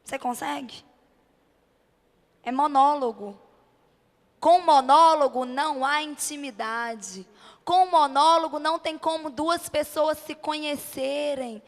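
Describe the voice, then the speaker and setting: A woman's voice: high-pitched, takes it slowly. A Brazilian woman preacher delivering an impassioned, emphatic sermon at a church event, driving points home with rhetorical questions and repeated short phrases. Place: church event before a congregation. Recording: reverberant hall amplification.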